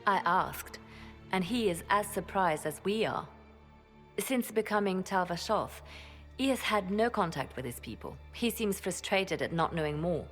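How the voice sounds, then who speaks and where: An actress playing a composed, devoted female spymaster and adviser in a fantasy game, calm, deliberate and formal. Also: mid-range voice, soft background music. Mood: calm, wry. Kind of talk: dramatic fantasy game acting.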